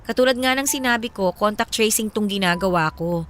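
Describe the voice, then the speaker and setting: A woman's voice: medium pitch, fairly quick, a bit bright, natural voice. Woman acting a guarded, firm Filipino ex-girlfriend. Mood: earnest, firm. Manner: firm, matter-of-fact. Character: guarded, earnest adult ex-girlfriend, weary but firm. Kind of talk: radio drama dialogue.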